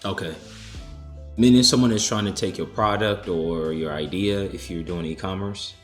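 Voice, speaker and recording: A man hosting a conference call, answering a caller's questions in a measured, explanatory way with some restarts. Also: low-pitched, reverberant, faint music behind.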